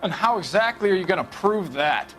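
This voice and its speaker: A man's voice: sits high, expressive, brisk, a bit bright, faint music behind. A man playing a bitter, defiant political radical being interrogated in a video game, sounding aggrieved.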